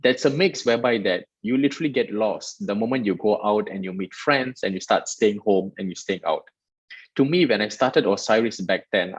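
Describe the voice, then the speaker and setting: A man's voice: lowish voice, breathy edge, no room sound, dull audio. A man from Malaysia, a guest in an interview, explaining his work at length in an earnest way with many restarts. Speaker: guest, startup festival director. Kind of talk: interview answers.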